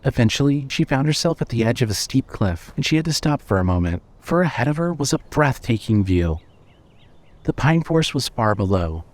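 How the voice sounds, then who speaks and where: Measured male story narrator. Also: mid-range voice. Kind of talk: scripted fiction narration.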